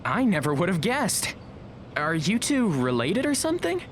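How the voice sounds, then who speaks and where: A woman's voice: medium pitch, wildly swooping pitch, normal speed, slight background noise. A woman playing a shy, polite teenage schoolboy in an audio drama, hesitant and prone to stammering.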